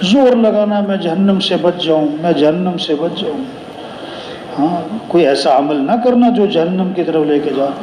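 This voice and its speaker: Echoey, high-pitched male preacher. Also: measured pace, noisy background. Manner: repeats phrases for emphasis; rhetorical question and answer. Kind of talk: sermon with moral counsel and storytelling. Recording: echoey, reverberant room sound.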